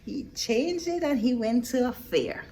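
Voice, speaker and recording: A woman reading a picture book aloud to young children, expressive and teacherly, pausing for emphasis and asking her listeners questions. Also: fairly high pitch, a little hiss or hum.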